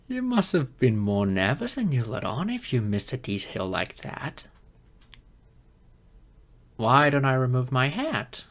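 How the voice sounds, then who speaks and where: A man's voice: medium pitch, big pitch swings, slow pace, long pauses. A man voicing a geeky young silver fox kitsune in an ASMR roleplay, flustered, hesitant and earnest, with slightly formal wording. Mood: gently proud. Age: young adult.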